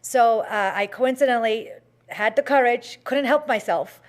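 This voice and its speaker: A woman hosts a talk show and tells a story in a casual, chatty way. She uses a lot of fillers and restarts. Her voice sits fairly high. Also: moderate pace.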